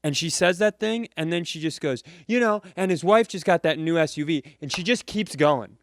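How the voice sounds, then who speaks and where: Smooth, dry-sounding comic storyteller man. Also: a little high, moderate pace, no echo. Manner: animated, mimics other voices mid-story. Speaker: comedian podcast host. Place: live venue, close mic.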